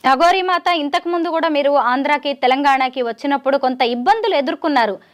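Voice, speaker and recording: Female media interviewer, high-pitched, probing, lively in recapping before each question. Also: fast talker. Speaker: news interviewer.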